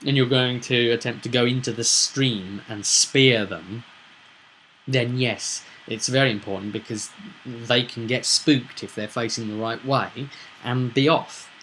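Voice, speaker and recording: Bright-voiced man explaining a roleplay session. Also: medium pitch, fairly even intonation, unhurried, a little hiss or hum, rough recording. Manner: rambling, emphatic, asks rhetorical questions. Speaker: game master recapping a tabletop roleplay session. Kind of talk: casual explanatory vlog monologue.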